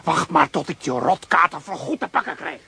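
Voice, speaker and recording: A man's voice: on the high side, very animated, brisk, gravelly, sharp tone, soft background music, full character voice. An actor playing a scrappy, proud male street dog in a radio play, with a streetwise grumble. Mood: grumbling.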